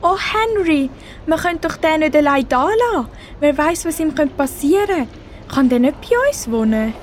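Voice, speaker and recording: A kind, decisive mother in a radio play acted by a female amateur. Her voice is high, with a Swiss German accent, over faint background noise. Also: big pitch swings, moderate pace. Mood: concerned.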